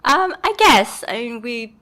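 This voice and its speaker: A woman's voice: a little high, very animated, measured pace, bright, forceful tone. Thoughtful woman from Montreal. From Montreal, Canada. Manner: thoughtful, hesitant, many ums. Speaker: podcast guest, author. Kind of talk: casual conversation.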